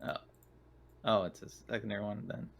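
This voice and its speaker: A man's voice: lowish voice, moderate pace, vocal fry, a little hiss or hum. A man chatting casually as a player in a tabletop roleplaying game, talking through his rolls.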